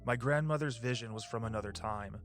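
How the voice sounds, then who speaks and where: A man's voice: medium pitch, a little fast, over music, lightly acted. A man acting in an audio drama as an impatient, dismissive husband, pushy and exasperated as he argues his case. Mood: exasperated urging. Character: impatient, dismissive husband eager to sell inherited land.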